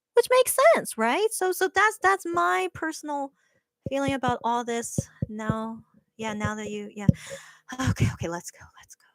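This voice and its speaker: A woman's voice: high voice, dry recording. A woman hosting a livestream and reading viewer comments, talking in a casual, earnest way with lots of restarts and "right?" tags. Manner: earnest, careful to explain her view. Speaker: livestream host reading chat.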